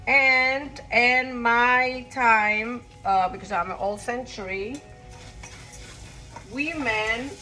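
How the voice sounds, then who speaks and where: A woman's voice: fairly high pitch, extremely slow, sharp tone, soft background music, poor audio. A woman from Costa Rica chatting casually while she cooks in her home kitchen, rambling and blunt with her asides. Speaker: home cook vlogger. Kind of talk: casual cooking vlog.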